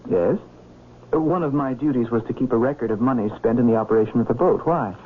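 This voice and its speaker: An actor playing a flustered, polite personal secretary who stammers hesitantly, in vintage radio drama style. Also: male voice, medium pitch, fast talker, narrow, tinny audio, close to an everyday voice. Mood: nervous, defensive. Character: flustered male personal secretary of a wealthy knight. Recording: thin, noisy vintage radio recording.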